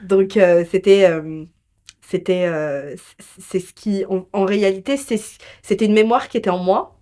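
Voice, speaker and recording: A woman shares her experience as a guest in an interview. Her voice sits at a medium pitch, and she talks in an emphatic, colloquial, storytelling way. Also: normal speed, warm tone. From France. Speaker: interview guest, entrepreneur and business mentor. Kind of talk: conversational interview, personal storytelling.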